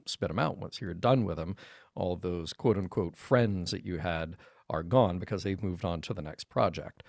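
American guy with a lowish voice chatting on a podcast, thoughtful and kinda rambly, really dry sound. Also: creaky, no echo. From Birmingham, Alabama, United States. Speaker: podcast guest. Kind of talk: casual podcast discussion.

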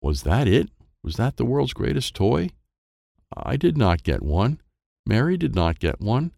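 A man voicing an excitable, wistful small boy who narrates a children's storybook in simple, wide-eyed phrases. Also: medium pitch, unhurried, gravelly, no room sound, clean studio sound, pitched above the performer's own voice, heavily acted. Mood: eager, wistful. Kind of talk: first-person present-tense children's storybook narration.

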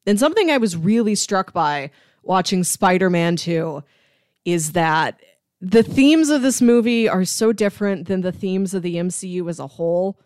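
A woman's voice: fairly low pitch, lively intonation, moderate pace, darkish tone, no room sound, studio quality. A woman co-hosting a podcast, talking casually and analytically in fluent, emphatic points. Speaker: podcast co-host. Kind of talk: casual analytical debate chat.

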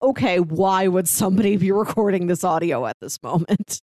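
A woman's voice: lowish voice, expressive, moderate pace, mellow, dry recording, clean studio sound. A woman co-hosting a review podcast, talking casually and analytically in an articulate, explanatory way. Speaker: podcast co-host reviewing audio dramas.

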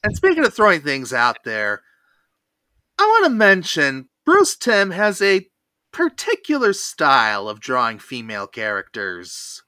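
A podcast host telling a cartoon episode recap in a lively, theatrical storytelling manner. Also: mid-range voice, a little slow, dry recording.

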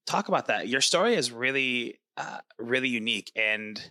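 A man's voice: medium pitch, expressive, normal speed, pushed and bright. A man hosting a podcast, introducing his guest in an upbeat, chatty way with plenty of fillers. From not from New York. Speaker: podcast host. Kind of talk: casual interview.

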